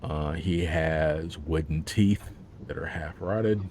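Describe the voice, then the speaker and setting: A man's voice: a bass voice, slow, a little mellow, slight background noise. A man, an author, talking about his book in a casual interview, rambling with plenty of fillers and laughs.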